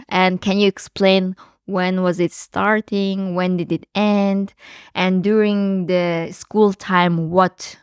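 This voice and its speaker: A young woman hosting a podcast, asking questions in a halting way with many fillers. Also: medium pitch, smooth, no room sound, clean studio sound. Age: young adult. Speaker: podcast host, junior researcher. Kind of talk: interviewing.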